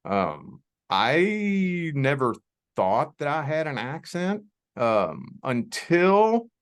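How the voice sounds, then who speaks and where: A man's voice: fairly high pitch, big pitch swings, smooth. Folksy man with a Southern drawl. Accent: Southern US (Kentucky border). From Southern Indiana near Louisville, Kentucky. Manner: folksy, reassuring, drawn-out emphasis. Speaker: guest, tarot reader. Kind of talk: spiritual conversation and tarot reading.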